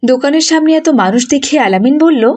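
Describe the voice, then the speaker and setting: Slightly high-voiced woman narrating. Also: lively intonation, a little fast, a little mellow. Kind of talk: scripted children's story narration.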